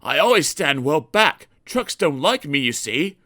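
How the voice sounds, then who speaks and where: A man's voice: on the high side, sing-song, a little slow, bright, forceful tone, no room sound, studio quality, pitched above the performer's own voice, exaggerated voice. A man doing a whiny grumbling little engine. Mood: sheepish. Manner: whiny, sheepish complaining. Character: grumbling lazy male tank engine.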